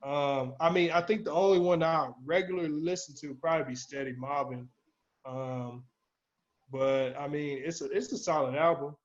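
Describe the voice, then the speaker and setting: A man's voice: fairly high pitch, normal speed. A man co-hosting a podcast, talking casually about albums in slangy language with plenty of swearing. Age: older than the co-hosts. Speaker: podcast co-host. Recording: dull lo-fi audio.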